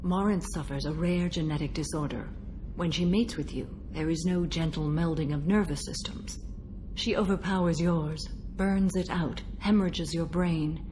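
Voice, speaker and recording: An actress playing a stoic, centuries-old alien warrior woman bound by a code in a video game, speaking in a measured, formal, solemn manner. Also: low voice, fairly even intonation, mellow, a little hiss or hum, natural voice, poor audio. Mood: solemn, stern. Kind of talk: video game dialogue acting.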